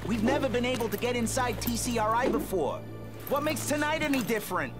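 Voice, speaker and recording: A man's voice: very high voice, expressive, brisk, over music. A man voicing a hotheaded, sarcastic young mutant turtle with a streetwise manner. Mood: skeptical. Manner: streetwise, wisecracking.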